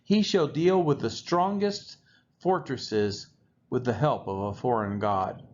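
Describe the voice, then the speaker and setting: A man's voice: medium pitch, takes it slowly, darkish tone. A man reading scripture aloud in a solemn, scripted style, phrase by phrase with emphatic stresses. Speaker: scripture reader. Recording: dull lo-fi recording with faint noise.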